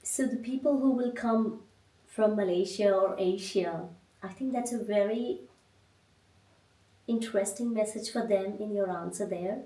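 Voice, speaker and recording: Woman from india hosting a podcast chat, calm reflective questions, sounds a bit far from the mic. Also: medium pitch, unhurried. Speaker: podcast host. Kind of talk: interview questions. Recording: echoey room, mic at a distance.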